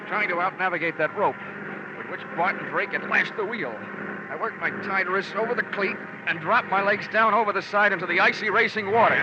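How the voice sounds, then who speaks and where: A man's voice: fairly high pitch, background noise, close to an everyday voice. An actor narrating as a wry, hard-boiled male insurance investigator in a 1950s radio drama. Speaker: lead detective and narrator. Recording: dull old radio recording with music.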